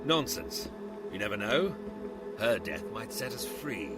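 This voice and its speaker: A theatrical, genteel monster with a sneer and a big appetite. His pitch swings widely as he threatens, in audio drama fashion, with loud music underneath. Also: big pitch swings, unhurried. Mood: scornful. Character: hungry, menacing villainous nightmare man with long knives. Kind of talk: dramatic audio fiction acting.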